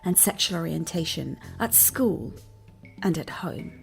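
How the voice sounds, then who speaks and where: A woman's voice: lowish voice, animated, a little slow, vocal fry, soft background music. A woman podcast host narrating wry asides between debate clips.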